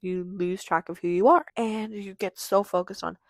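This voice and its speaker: Teen girl podcast host, hoarse and raspy, middle pitch, chatty and rambling. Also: fairly even intonation, moderate pace, no room sound, studio quality. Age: teenager in high school. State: hoarse, losing her voice. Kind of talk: casual confessional monologue.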